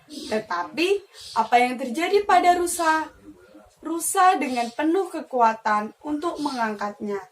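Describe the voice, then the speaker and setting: A woman's voice: high voice, lively intonation, measured pace. A young woman telling a children's story expressively, like a teacher. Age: young adult. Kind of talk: animated children's storytelling with questions.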